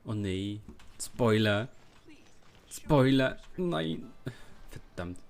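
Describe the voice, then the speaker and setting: A man with a German accent giving casual gameplay commentary, muttering and halting as he goes. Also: on the low side, animated, creaky. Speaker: let's play streamer.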